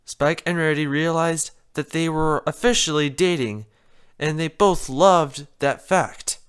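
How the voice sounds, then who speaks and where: A narrator reading a story aloud in an expressive scripted style. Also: medium pitch, measured pace, smooth. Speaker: story reader.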